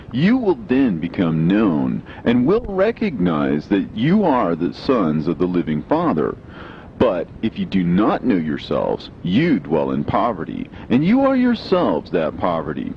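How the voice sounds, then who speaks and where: Deep-voiced man solemnly reading scripture. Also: highs cut off, a little hiss or hum. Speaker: reader of religious scripture. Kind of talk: scripted audiobook narration of scripture.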